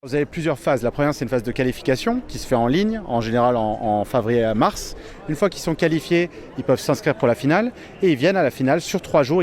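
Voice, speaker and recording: A man's voice: medium pitch, darkish tone. A man explaining how things are organised, interviewed on site as a sports event director.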